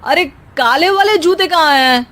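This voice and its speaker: A woman storyteller voicing a hurried, demanding husband who teases flippantly in a domestic story. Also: high voice, animated, fairly bright tone, pitched above the performer's own voice. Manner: bossy, flippant, impatient.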